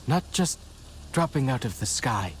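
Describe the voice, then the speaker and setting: A man's voice: medium pitch, big pitch swings, a little slow, a little hiss or hum. An actor voicing an anxious young male pilot and engineer in a video game. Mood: defensive, worried.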